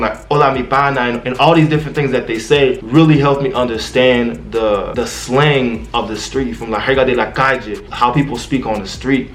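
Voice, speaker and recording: A young man whose first language is Haitian Creole talks straight to camera in a loose, streetwise vlog manner. His voice sits at an ordinary middle pitch. The room gives it a noticeable echo. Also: a little hiss or hum. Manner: slangy, laid-back street talk with "you feel me". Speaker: YouTuber giving language tips. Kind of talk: casual vlog talk. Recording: roomy echo.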